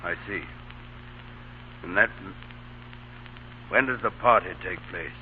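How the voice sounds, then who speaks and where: Low-voiced, resigned condemned captain. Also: male voice, takes it slowly. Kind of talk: 1930s-40s radio drama acting. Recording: tinny old radio recording, faint noise.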